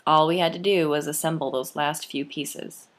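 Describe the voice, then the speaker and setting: A young woman reads her own memoir aloud, her voice sitting somewhat low for a woman. She tells it with lively, playful emphasis. She reads like a storyteller sharing a personal tale. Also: moderate pace. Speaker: author.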